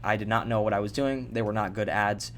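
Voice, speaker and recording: A man's voice: lowish voice, fairly even intonation, brisk, a bit bright, a little hiss or hum. A young man from Japan telling his own story in a loose, rambling vlog, with plenty of fillers and the odd swear. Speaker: vlogger.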